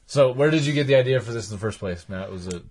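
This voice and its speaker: A man's voice: medium pitch, animated, quick pace, darkish tone, breathy edge. Rambling, laughing male podcast host. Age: adult, past high school. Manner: rambling, laughs often, many likes and restarts. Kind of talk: casual chat.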